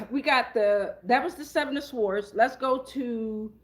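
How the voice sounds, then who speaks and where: High-voiced woman giving a blunt tarot reading. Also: slow. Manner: blunt, profane, slangy. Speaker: tarot reader hosting a reading. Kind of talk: casual, improvised spiritual tarot reading.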